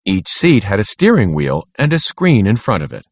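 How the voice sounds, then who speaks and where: A man narrating a children's read-aloud in a deliberate, expressive scripted style. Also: on the low side, no room sound.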